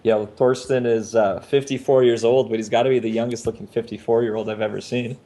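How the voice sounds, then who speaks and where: Guy hosting a podcast on a skype call, chatty and informal, normal pitch. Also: level tone, quick pace, warm tone. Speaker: podcast host. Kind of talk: casual video podcast talk. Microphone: Skype video call.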